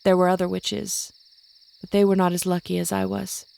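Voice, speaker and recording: An actress playing an older, hardened witch who leads and protects her coven, speaking in a grave, measured, commanding way in an audio drama. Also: lowish voice, little pitch movement, gravelly, warm tone. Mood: grave, bitter.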